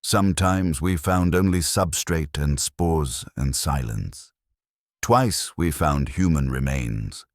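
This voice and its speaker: A man narrating a dark science fiction horror story in the first person, in a grave, measured storytelling manner. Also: rumbling low, no room sound, clean studio sound.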